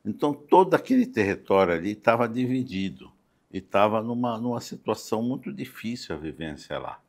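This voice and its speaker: An older Brazilian man speaking as a guest in a conversational podcast interview, halting and often adding small check-in tags. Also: on the low side, lively intonation. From Campo Grande, Brazil. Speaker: interview guest, doctor and city councillor. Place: podcast studio.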